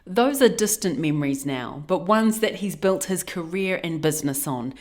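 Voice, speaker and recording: A woman's voice: lowish voice, lively intonation, normal speed, darkish tone. A woman with a New Zealand accent narrating a scripted news feature as a reporter.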